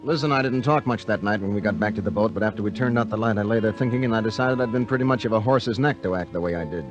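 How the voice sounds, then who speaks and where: A man's voice: lowish voice, fast talker, muffled recording, faint music behind, natural voice. An American man playing a moody newlywed diver in a 1950s radio drama, shifting from easygoing to grouchy. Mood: reflective narration. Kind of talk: 1950s radio drama acting with first-person narration. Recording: vintage 1950 radio broadcast.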